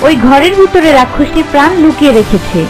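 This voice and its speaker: A woman's voice: sits high, animated, fast talker. A woman voicing a young, earnest, anxious princess in a cartoon fairy tale. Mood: earnest, urgent. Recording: music behind the voice.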